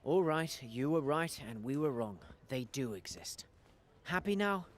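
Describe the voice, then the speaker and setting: A man's voice: a little high, a little slow, a little hiss or hum. An actor playing a plain-spoken, earnest young male adventurer in a fantasy video game. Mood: exasperated. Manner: earnest, animated.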